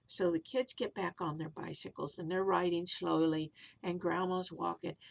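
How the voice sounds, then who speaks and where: A woman's voice: fairly low pitch, steady tone, moderate pace, gravelly. A woman storyteller narrating for young children in simple, repetitive phrases, with little explanatory asides.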